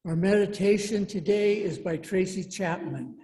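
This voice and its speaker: A woman leading a church service, giving announcements and reading a meditation in a measured, deliberate way. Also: mid-range voice, dark tone. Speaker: worship service leader.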